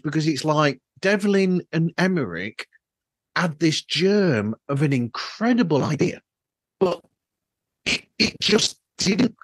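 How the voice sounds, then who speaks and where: A man's voice: a little high, a bit bright, no room sound, poor audio. A man hosting a podcast in casual conversation, rambling toward his point, recorded on a troubled microphone. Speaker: podcast host. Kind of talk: casual interview chat. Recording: dry, faulty podcast microphone.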